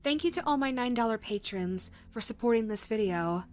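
A woman's voice: on the high side, lively intonation, brisk. A woman speaking as a channel host, reading out thanks and sign-off in an outro style.

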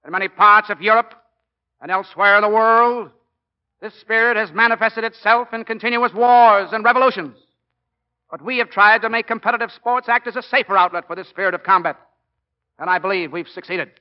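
An actor playing a middle-aged American college football coach in 1940s radio style, speaking plainly and emphatically like an orator. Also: male voice, high voice, steady tone, a little fast, lightly acted. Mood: impassioned. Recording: muffled 1940s radio broadcast recording.

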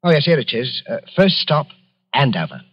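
A man's voice: medium pitch, sing-song, moderate pace, lightly acted. Man acting a fussy, timid lodger, muffled radio. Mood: flustered, secretive. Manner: hesitant, rambling, repetitive. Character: fussy, timid, odd English lodger with sinister streak. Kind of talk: old-time radio mystery acting. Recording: dull, lo-fi old radio recording.

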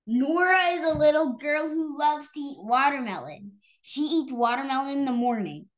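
A woman with a fairly high voice reads a picture book aloud to children. Her reading is halting, and she stumbles over harder words as she goes. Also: animated, rough recording. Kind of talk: read-aloud children's story.

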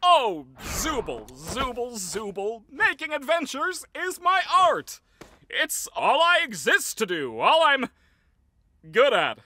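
A man's voice: very high-pitched, huge pitch swings, slow, bright, forceful tone, dry recording, exaggerated voice. A man voicing a manic, theatrical cartoon ringmaster made of dentures, with a bombastic, overenthusiastic showman's manner. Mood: fragile, rattled. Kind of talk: animated cartoon voice acting.

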